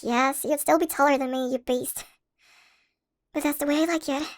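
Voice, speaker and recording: Woman voicing a shrunken college crush in an audio roleplay, really high little voice, playful n affectionate. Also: fairly even intonation, normal speed, natural voice. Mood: playful, teasing. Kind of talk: scripted ASMR audio roleplay.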